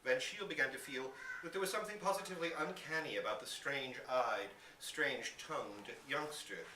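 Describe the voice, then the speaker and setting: A man reading a literary short story aloud to listeners as an expressive storyteller, recorded live indoors. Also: mid-range voice, normal speed. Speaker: story reader. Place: indoor public reading in a bookstore. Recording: roomy, distant live recording with hiss.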